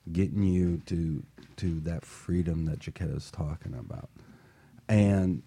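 A man's voice: a bass voice, mellow, husky. A man hosting a talk show in a motivational style, affirming and repeating phrases for emphasis. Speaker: talk show host and workshop coach.

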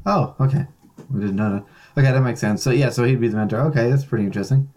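An Irish man with a mid-range voice co-hosts a relaxed podcast. He talks loosely, with plenty of fillers and easy laughter. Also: medium pitch, quick pace. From Ireland. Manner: laid-back, laughs often, lots of fillers. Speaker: podcast co-host. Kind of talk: casual podcast chat.

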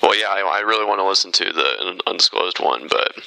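A man's voice: sits low, lively intonation, fast talker, gravelly, bright, forceful tone, no room sound, low-quality recording. A man hosting a casual interview, easygoing and a little tentative in how he phrases things. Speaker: podcast host.

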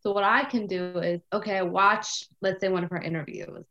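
A woman co-hosting a podcast who chats in an animated way, acting out her own thoughts and peppering her talk with "like" and "okay". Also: mid-range voice, moderate pace, muffled recording. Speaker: podcast co-host. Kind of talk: casual conversational podcast chat.

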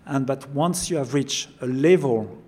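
A man's voice: medium pitch, a little slow, a little mellow. An older man with a French accent, a chef answering interview questions, often repeating words as he searches for phrasing. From France. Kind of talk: interview conversation.

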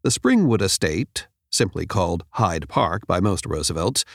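A man reads a nonfiction audiobook in a measured, clearly enunciated way, close to the microphone. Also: deep voice, big pitch swings, no room sound. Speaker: audiobook narrator. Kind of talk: scripted nonfiction audiobook narration. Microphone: close studio microphone.

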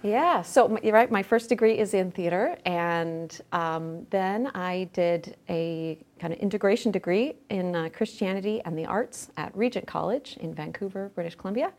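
A woman's voice: medium pitch, normal speed, few pauses, a little mellow. A middle-aged woman speaking conversationally as an interview guest, recounting things in an informal, explanatory way. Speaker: podcast guest, journal managing editor.

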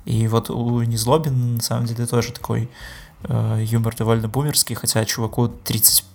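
A man's voice: medium pitch, flat delivery, brisk, vocal fry. A man co-hosting a podcast in casual chat, hesitant, with many filler sounds. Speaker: podcast co-host.

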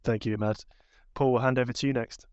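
A man's voice: mid-range voice, steady tone, fast, darkish tone, clear, resonant tone, no echo, lo-fi sound. A man hosting a panel podcast, introducing guests and handing over questions. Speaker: podcast host.

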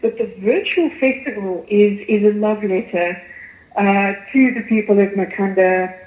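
A woman with a South African accent giving articulate, measured answers as a guest in a radio interview. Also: medium pitch, roomy sound, phone-quality sound. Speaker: arts festival chief executive, interview guest.

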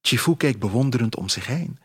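A man narrating a scripted first-person story in a measured, literary way. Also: mid-range voice, animated, a bit bright, slightly rough. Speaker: author reading own story as narrator. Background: music.

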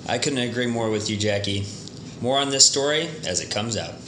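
A man playing a matter-of-fact male TV news co-anchor, reading scripted lines in a measured, formal way. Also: medium pitch, animated, pushed and bright, reverberant, slight background noise, poor audio. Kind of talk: scripted news read at a table read.

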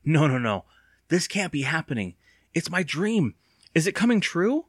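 A man's voice: mid-range voice, big pitch swings, a little fast, fairly bright tone, dry recording, studio quality. A man voicing an anxious, impatient young boy in an audiobook, with a whiny, sarcastic, exclaiming manner. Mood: panicked. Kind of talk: audiobook character voice.

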